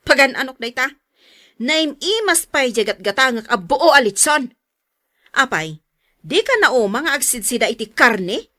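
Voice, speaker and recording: A woman's voice: sits high, animated, normal speed, sharp tone, no room sound, studio quality. A woman playing a haughty, irritable wife in an Ilocano audio drama, with a scolding, snappish delivery and an Ilocano accent. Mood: scornful scolding. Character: haughty, irritable middle-aged wife who disdains her neighbors.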